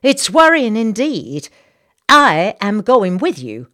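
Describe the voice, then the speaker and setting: A woman's voice: mid-range voice, sing-song, takes it slowly, a bit bright, pitched above the performer's own voice. A woman voicing a fretful, bossy, gossipy Southern society matron in an audiobook, with an American Southern accent and an indignant, insistent manner. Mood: determined.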